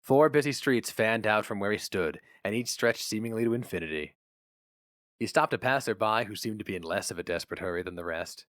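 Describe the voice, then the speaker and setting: A man's voice: lowish voice, fairly quick, fairly bright tone, no echo, clean studio sound. A man reading a short story aloud as a dramatic scripted narration.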